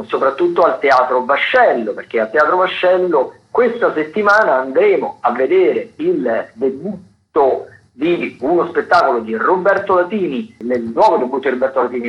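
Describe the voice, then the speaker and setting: A male radio host from Rome presenting the week's theatre listings. He hesitates a lot, with many fillers and restarts. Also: mid-range voice. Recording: dull lo-fi radio broadcast.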